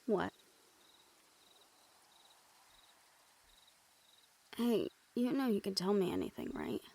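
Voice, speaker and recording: A woman acting in an intimate audio roleplay as a remorseful, weary young woman with dangerous powers, speaking softly and hesitantly to the listener. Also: mid-range voice, wildly swooping pitch, moderate pace, gravelly, full character voice. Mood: reassuring, reflective.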